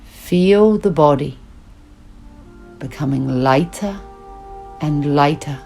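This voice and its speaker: A woman teaching yoga nidra, guiding a relaxation meditation in slow, soothing instructions with long pauses. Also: low voice, dark tone, soft background music.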